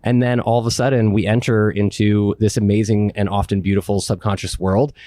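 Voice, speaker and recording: Low-voiced enthusiastic panel moderator man. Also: flat delivery, fairly quick, warm tone, dry recording, studio quality. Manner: enthusiastic, explanatory, asks long framing questions.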